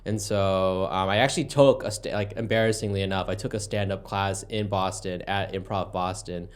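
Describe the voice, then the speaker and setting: A man's voice: sits low, fairly even intonation, normal speed, clear, resonant tone. A young American man, a comedian guest, chatting about his life in an easygoing, rambling way. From United States. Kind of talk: casual podcast chat. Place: podcast studio.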